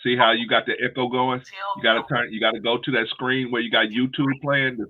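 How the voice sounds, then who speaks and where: A man hosting a casual livestream over a video call, relaxed and reassuring, calling his guests brother and sis. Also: medium pitch, fairly quick. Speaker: livestream host. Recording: tinny phone-like call audio.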